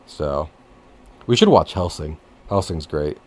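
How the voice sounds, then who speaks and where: A man hosting a casual podcast chat, rambling and jokey, with easy swearing and an animated delivery. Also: very deep voice, takes it slowly. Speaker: podcast host.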